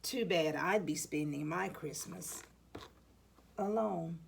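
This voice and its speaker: A woman reading a rhyming children's picture book aloud, with deliberate, dramatic phrasing for young listeners. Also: fairly low pitch, vocal fry, a little hiss or hum. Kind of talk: expressive storybook read-aloud in rhyme.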